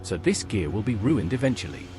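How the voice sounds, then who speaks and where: A synthetic man's voice playing a steady, helpful adventurer in fantasy video game dialogue. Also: on the low side, a little fast, music in the background. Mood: calm, inquiring.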